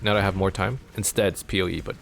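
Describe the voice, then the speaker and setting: A young man from Canada, streaming a game from home and chatting casually with his viewers in a rambling, filler-heavy way, his pitch jumping up when he gets animated. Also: racing, vocal fry. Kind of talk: casual chat with live chat while gaming.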